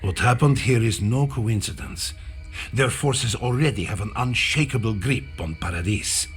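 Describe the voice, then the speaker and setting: A man's voice: medium pitch, normal speed, soft background music, lightly acted. A man playing a composed, formal, diplomatic leader in a video game. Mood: grave. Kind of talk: video game cutscene acting.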